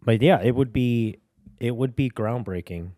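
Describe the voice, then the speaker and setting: A young man co-hosting a podcast, joking and rambling in casual chat with quick laughs. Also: medium pitch, dark tone, dry recording, clean studio sound. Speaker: podcast co-host. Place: home studio with dogs.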